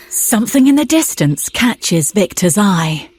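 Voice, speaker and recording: A woman's voice: mid-range voice, sing-song, slow, darkish tone, breathy edge, dry recording. A woman reading scripted narration between scenes of an audio drama.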